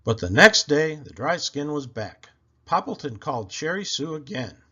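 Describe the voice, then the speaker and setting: A man reading a picture book aloud to children, expressive and deliberate in his phrasing. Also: fairly high pitch, slightly rough, lo-fi sound.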